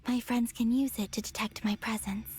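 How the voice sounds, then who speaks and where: A woman voicing a serene, resolute young moon goddess in a video game, speaking softly in a measured, breathy way. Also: a little high, fairly even intonation, soft background music, lightly acted. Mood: calm, certain.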